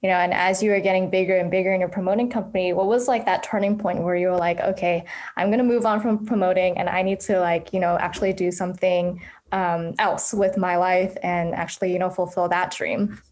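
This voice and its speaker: A woman's voice: on the low side, level tone, a little fast, darkish tone. Chatty woman host asking questions. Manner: chatty, hedging with fillers. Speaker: podcast host. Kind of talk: casual interview questions.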